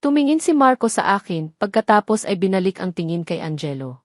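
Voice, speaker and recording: Female narrator, Filipino accent, medium pitch, for sentimental scripted romance audio stories, recorded very dry. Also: big pitch swings, fairly quick, no room sound.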